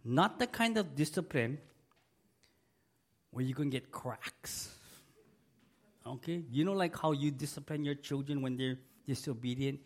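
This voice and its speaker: Middle-aged male pastor, gravelly and losing his voice, slow and emphatic sermon delivery. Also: medium pitch. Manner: emphatic, repeats key words, asks the congregation for an amen. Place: church sanctuary.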